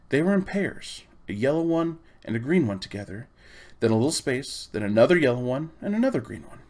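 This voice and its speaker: Man narrating a storybook expressively. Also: mid-range voice. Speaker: audiobook reader.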